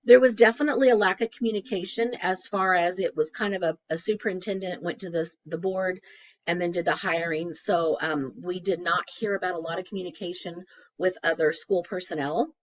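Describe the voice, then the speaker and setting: A woman with a crackly, hoarse voice from a cold, presenting a class case study conversationally with frequent fillers. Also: lowish voice, brisk. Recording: dull lo-fi recording.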